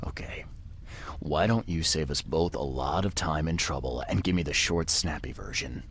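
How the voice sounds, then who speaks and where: Noir game private eye: extremely deep, laconic, dry, world-weary. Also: male voice, moderate pace, breathy edge, heavily acted. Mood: impatient, dry. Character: world-weary, wry male private detective. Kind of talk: noir-like video game voice acting.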